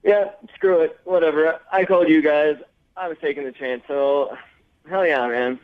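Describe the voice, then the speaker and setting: A man's voice: fairly high pitch, moderate pace, clear, resonant tone. A man calling in to a radio show, talking casually over the phone. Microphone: phone call to a radio studio. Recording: muffled phone line with faint noise.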